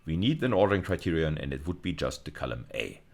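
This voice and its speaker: A man giving an explanatory lecture, speaking deliberately and emphatically, often repeating and rephrasing key phrases. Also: extremely low pitch, very animated, creaky. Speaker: university lecturer.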